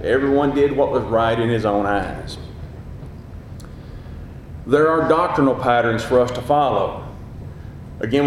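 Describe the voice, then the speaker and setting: A man with a gruff, booming voice preaches with passion, pushing hard on his key points. His voice rings through a very reverberant room, and a faint low rumble of noise sits underneath. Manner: passionate, emphatic preaching with rising peaks. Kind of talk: sermon. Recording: very echoey hall.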